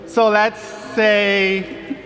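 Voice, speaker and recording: A man presenting a software demo live on stage, playful and joking with the audience. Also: high-pitched, little pitch movement, drawn-out words. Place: large event hall on stage. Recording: hall reverb with live crowd. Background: music and audience reactions.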